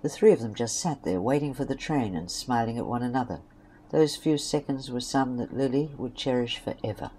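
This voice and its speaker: Low-voiced woman narrator, noisy recording. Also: brisk, mellow. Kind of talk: scripted story narration.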